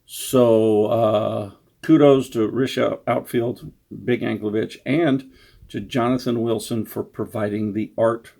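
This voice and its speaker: A man's voice: on the low side, fairly even intonation, slow pace, vocal fry, dark tone. A man giving a casual, unscripted introduction as an author, rambling and self-deprecating. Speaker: author introducing his story.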